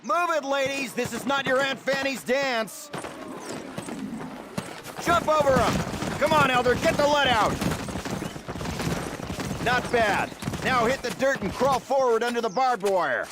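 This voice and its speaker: An actor playing a gruff, bossy World War II drill instructor with an American accent, giving orders in a military game. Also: male voice, extremely high pitch, lively intonation, measured pace, pauses often. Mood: shouting, urgent. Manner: commanding, taunting, barking. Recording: noisy, gunfire and clicks behind.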